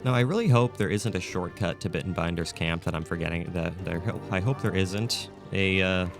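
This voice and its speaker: Low raspy man with a scratchy throat. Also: fairly quick, over music. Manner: chatty, thinks aloud with fillers. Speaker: gameplay commentator. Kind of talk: casual let's-play commentary.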